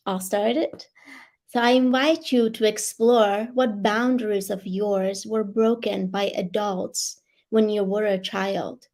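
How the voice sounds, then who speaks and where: A raspy-voiced woman from Iran, sharing as a guest author over an online video call. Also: medium pitch. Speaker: guest author and life coach. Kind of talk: personal sharing and reading aloud.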